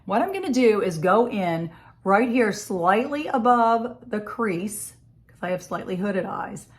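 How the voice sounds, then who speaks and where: A woman's voice: mid-range voice, lively intonation, a little slow. Scratchy-voiced woman recovering from a cold. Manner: chatty, friendly step-by-step explaining. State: hoarse from a cold. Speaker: makeup vlogger. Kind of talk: casual makeup tutorial. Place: home office.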